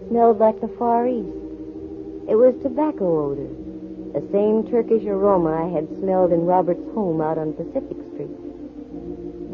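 A woman's voice: medium pitch, close to an everyday voice. An actress playing a dry, wisecracking female private investigator in a 1940s radio drama. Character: wry, self-possessed female private investigator. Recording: muffled, thin 1940s radio recording.

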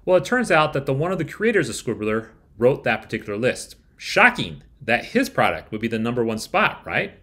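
Man in his forties reviewing software. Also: mid-range voice, big pitch swings, a little fast, few pauses. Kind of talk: scripted review narration to camera. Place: small room at home, indoors.

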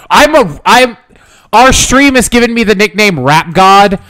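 A joking male co-host riffs through a casual game stream. His voice is high and bright, with a smooth, clear tone. He swings up and down freely as he talks. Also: lively intonation. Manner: joking, playful, quick to riff. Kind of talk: casual gaming stream banter.

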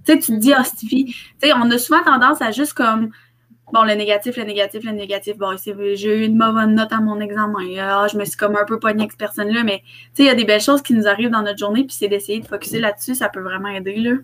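A woman's voice: mid-range voice, steady tone, fast, a bit bright. A young woman with a Québécois French accent, talking informally and encouragingly in a casual group discussion on a video call. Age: young adult. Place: bedroom at home, on a video call. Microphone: computer mic on video call.

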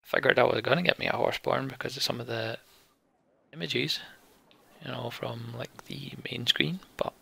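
A man's voice: mid-range voice, fairly even intonation, moderate pace, vocal fry, a bit bright, breathy edge. A man doing let's play commentary on a game, reading text aloud and talking through choices.

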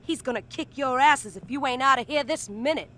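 A woman's voice: sits high, brisk, pushed and bright. A woman playing a sassy, tough young barmaid in a video game. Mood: annoyed, defiant. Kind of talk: video game cutscene acting.

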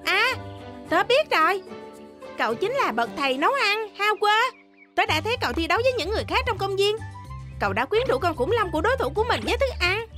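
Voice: very high voice, very animated, quick pace, bright, forceful tone, faint music behind, heavily acted. An actor voicing an eager, friendly young boy dinosaur trainer in a children's cartoon dub.

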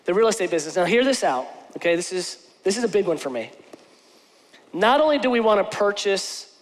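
A man's voice: high voice, big pitch swings, fairly quick. A man in his forties, a pastor giving a conversational, earnest sermon from a church stage, often restarting his sentences. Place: large church stage with lighting. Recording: church hall reverb.

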